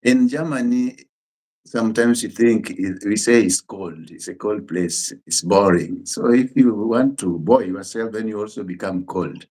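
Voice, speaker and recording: A middle-aged Kenyan man whose first language is Luo, talking casually as a guest musician and pastor in simple, unhurried sentences that often restart. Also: on the low side, a little mellow, low-quality recording. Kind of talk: casual interview chat.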